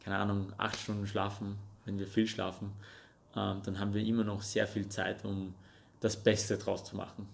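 A man's voice: fairly low pitch, completely flat delivery, moderate pace, creaky, a little hiss or hum. A young man from Russia, raised speaking Russian and Armenian, earnestly chatting as a podcast guest with frequent hesitations. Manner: earnest, talks with hesitant ums. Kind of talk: casual interview chat.

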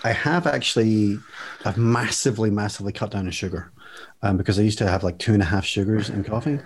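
A man's voice: on the low side, fast talker, slightly rough. A man talking casually as a podcast guest, with a jokey, self-mocking, playful manner, recorded on a microphone in a home music studio. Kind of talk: casual chat. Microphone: studio microphone with headphones.

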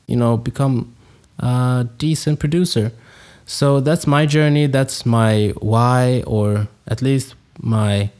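Mellow, laid-back man. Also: mid-range voice. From Congo, raised in Sweden. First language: Swedish. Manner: relaxed, rambling, many fillers and restarts. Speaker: podcast host, music producer. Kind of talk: casual solo podcast intro.

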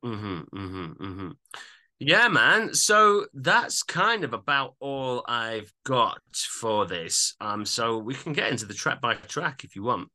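A man's voice: medium pitch, very animated, takes it slowly, bright, forceful tone. A man co-hosting a podcast, chatting casually and agreeably as he reviews an album.

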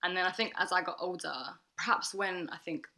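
A woman's voice: fairly low pitch, fairly even intonation, a little fast, sharp tone. A young woman talking reflectively in a casual podcast chat.

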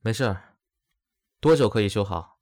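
A man's voice: lowish voice, lively intonation, normal speed, darkish tone, close to an everyday voice. An actor playing an easily flustered, playful young man in an audio drama, with hesitant, stammering delivery. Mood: resigned. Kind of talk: Chinese audio drama acting.

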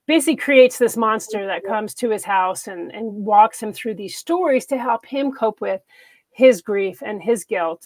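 A woman's voice: medium pitch, darkish tone, no echo. A woman author being interviewed, speaking thoughtfully and earnestly in casual conversation. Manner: thoughtful, earnest, laughs easily. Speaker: author guest.

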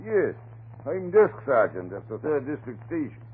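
An actor playing a chatty, stubborn off-duty police sergeant in an old-time radio drama, with a blustering, folksy way of talking. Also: male voice, medium pitch, sing-song, moderate pace, breathy edge. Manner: blustering, garrulous, folksy turns of phrase. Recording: noisy, narrow-band vintage radio recording.

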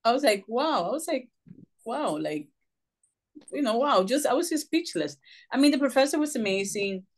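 A middle-aged woman from Puerto Rico, a social worker and author telling her story in an interview, animated and acting out the voices she quotes. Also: a little high, moderate pace.

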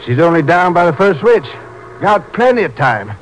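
An actor playing a folksy, unhurried small-town Texas railroad station master in a 1950s radio drama. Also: male voice, on the high side, sing-song. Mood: unhurried, reassuring. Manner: garrulous, unflappable, folksy. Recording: noisy old 1950s radio recording.

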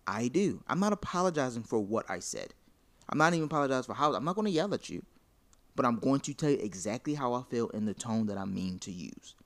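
A man's voice: medium pitch, animated, fast, gravelly, a bit bright. A man arguing his point in a heated casual conversation, blunt and profane.

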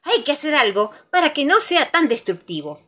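A woman acts out a stern, bossy rabbit in a children's story. The voice jumps up high and scolds with indignation and animated pitch. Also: moderate pace, exaggerated voice. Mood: angry, scolding.

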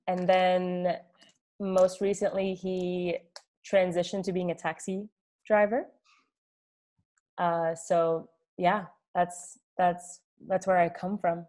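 A woman in her late twenties, from Egypt and raised in New York, talks slowly in a lowish voice. She is candid and reflective and often says "like" while she thinks. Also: a little mellow. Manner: candid, reflective, with many "like" fillers. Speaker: interview guest. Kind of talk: casual conversation.

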